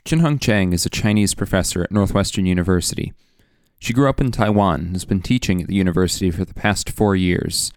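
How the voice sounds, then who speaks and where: A young man narrating a scripted documentary, measured and explanatory, often putting questions to the listener. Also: sits low, no room sound, clean studio sound. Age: young adult. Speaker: student radio producer and narrator. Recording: faint music underneath.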